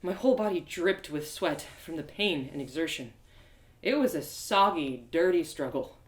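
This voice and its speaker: Expressive woman storyteller in an echoey room. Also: sits low, normal speed. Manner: expressive, theatrical. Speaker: author performing her audio drama. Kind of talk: dramatic live story reading. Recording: roomy, echoey sound.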